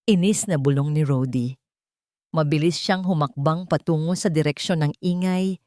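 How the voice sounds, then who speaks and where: A woman's voice: sits low, moderate pace, mellow, no room sound, studio quality. A woman reading scripted, dramatic story narration as the narrator.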